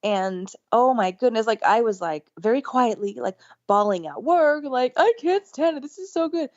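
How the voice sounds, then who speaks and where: A woman's voice: moderate pace, dry recording. Enthusiastic rambling woman on a dull line. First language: English. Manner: enthusiastic, rambling, with lively jumps in pitch. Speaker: podcast guest, podcast co-host. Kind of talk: casual storytelling interview.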